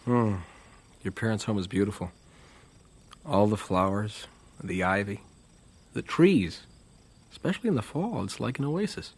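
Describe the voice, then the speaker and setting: An actor playing an easygoing, joking young American missionary and bridegroom in an audio drama, with an American accent. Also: male voice, lowish voice, expressive, unhurried, pauses often, breathy edge, full character voice. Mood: gentle, content. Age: young adult.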